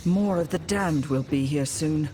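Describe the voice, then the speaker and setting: A woman voicing a determined, studious necromancer in a fantasy game, serious and measured as she muses aloud. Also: low voice, mellow, slight background noise. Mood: intrigued. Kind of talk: fantasy game character acting.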